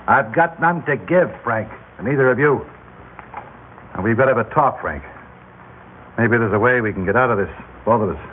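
An actor in a classic radio drama playing a cold-blooded, insistent gangster who coolly threatens people. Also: male voice, mid-range voice, expressive, normal speed, long pauses, natural voice. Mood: pressing, threatening. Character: menacing, cold-blooded indebted gangster, coercing others. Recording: tinny, noisy old radio broadcast.

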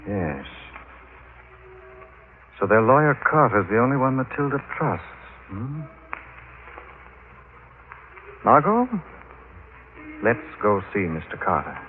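An actor playing a suave, courteous gentleman detective with an inquisitive streak in a 1940s radio mystery. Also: on the low side, sing-song, unhurried, pauses often. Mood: courteous, probing. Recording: noisy, tinny vintage radio broadcast.

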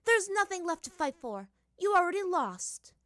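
A woman voicing a cruel, haughty young princess who mocks and threatens loudly in a storybook reading. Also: very high-pitched, big pitch swings, normal speed, no echo, studio quality, pitched above the performer's own voice, full character voice. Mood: menacing.

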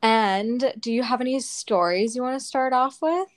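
A young woman co-hosting a podcast, telling a story in a casual, animated way and swearing freely. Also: on the high side, moderate pace. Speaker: podcast co-host.

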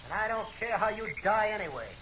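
High-pitched, bitter cornered outlaw. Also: male voice, animated, fairly quick. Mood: bitter, defiant. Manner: taunting, defiant, bitter. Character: defiant, bitter cornered Old West outlaw, adult man. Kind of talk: 1950s radio western acting. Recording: muffled, noisy old radio recording.

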